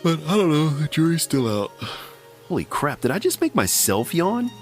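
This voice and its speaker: A man's voice: on the high side, sing-song, moderate pace, faint music behind. A middle-aged man hosting a podcast, talking in a wry, chatty, self-mocking way. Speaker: podcast host. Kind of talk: casual scripted podcast narration.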